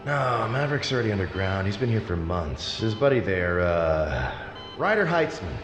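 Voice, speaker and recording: An actor playing a matter-of-fact lab technician in a sinister video game facility. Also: male voice, low voice, sing-song, moderate pace. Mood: gossipy, casual. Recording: long echoing reverb, faint music behind.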